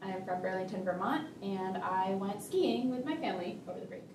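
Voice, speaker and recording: A woman's voice: mid-range voice, fairly quick. A young woman leading group introductions in a friendly, upbeat way, recorded in a meeting room. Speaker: group leader. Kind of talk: scripted role-play. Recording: roomy from a distance, faint hum.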